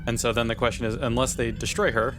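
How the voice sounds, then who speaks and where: A man's voice: mid-range voice, flat delivery, fast talker, over music. A man talking casually as a player in a tabletop game, working through options.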